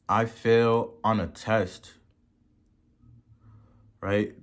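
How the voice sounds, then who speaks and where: Slow, low-voiced preachy motivational man. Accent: Haitian. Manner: preachy, repeats words for emphasis, rising to loud peaks. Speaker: podcast host. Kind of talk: motivational talk.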